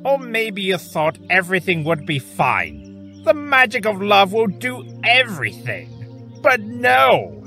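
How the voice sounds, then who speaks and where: Man as theatrical chaos creature, bitter, volatile, bright edgy voice, audio drama, faint music behind. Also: high-pitched, huge pitch swings, slow, full character voice. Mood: anguished, bitter. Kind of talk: fan audio drama acting.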